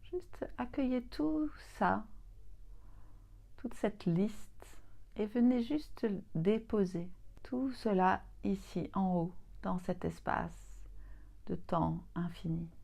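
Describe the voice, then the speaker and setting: A woman leading a guided yoga nidra relaxation as a teacher, soothing and gently inviting, leaving long pauses between phrases. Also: mid-range voice, gravelly.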